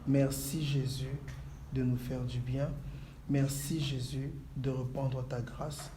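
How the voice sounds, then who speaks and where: A man from Congo who leads a church, answering calmly and praying in a measured, preacherly way. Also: mid-range voice, a little hiss or hum. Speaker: pastor. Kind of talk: calm interview answers and prayer. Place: inside a church.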